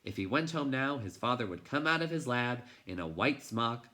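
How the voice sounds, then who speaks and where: Bright-voiced man reading a storybook dramatically. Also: mid-range voice, expressive, moderate pace. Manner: dramatic, shifting from hushed to intense. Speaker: teacher reading a storybook aloud. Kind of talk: expressive children's book read-aloud.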